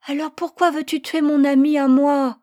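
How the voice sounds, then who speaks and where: A woman's voice: high voice, little pitch movement, normal speed, dark tone, voice pushed up, close to an everyday voice. Woman doing a pleading little boy. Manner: pleading, earnest questioning. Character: small boy, tender-hearted and stubborn.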